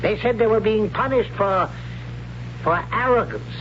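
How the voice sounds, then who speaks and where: Stern, high-pitched old priest. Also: male voice, unhurried. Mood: grave. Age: older. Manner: solemn, declamatory. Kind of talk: 1970s radio drama acting. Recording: dull, noisy 1970s radio recording.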